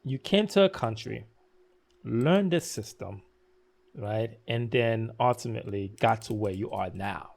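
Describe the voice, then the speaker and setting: A man's voice: on the low side, unhurried, vocal fry, darkish tone. Man, podcast host, friendly, encouraging, long questions. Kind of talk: casual interview conversation.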